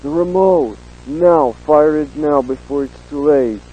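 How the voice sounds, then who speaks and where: A man's voice: on the high side, measured pace, shouting, lo-fi sound, natural voice. A man acting as a harried fighter leading a desperate battle plan in an amateur audio drama. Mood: desperate, urgent. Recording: faint background hiss.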